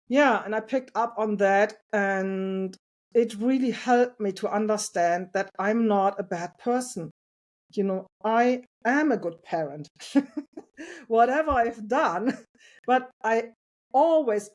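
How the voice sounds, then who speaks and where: A woman talking as a podcast guest about parenting, earnest and reflective, with a loud voice and light laughs. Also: medium pitch. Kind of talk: casual interview conversation.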